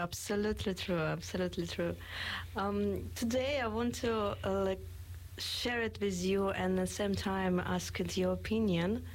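Husky-voiced woman interviewer. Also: medium pitch. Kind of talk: conversational interview.

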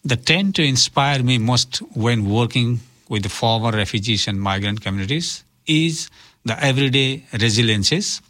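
A man with Nepali as his native language speaks as an interview guest at a middling pitch. His phrasing is formal and deliberate, and he comes across as earnest. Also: fairly bright tone. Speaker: interview guest, community worker and officiant for migrants and refugees.

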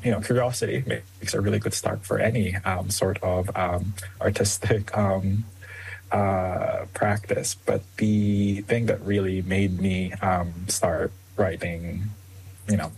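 Man, young, from the Philippines, native Tagalog speaker, creaky voice, hesitant, faint noise. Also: lowish voice, poor audio. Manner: hesitant, many ums, soft laughs. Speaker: author guest. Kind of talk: interview answers.

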